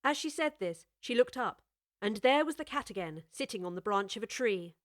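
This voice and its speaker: Brief: female narrator, storybook reading, fairly high voice, dry studio mic. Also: lively intonation, no echo. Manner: measured, clear storytelling.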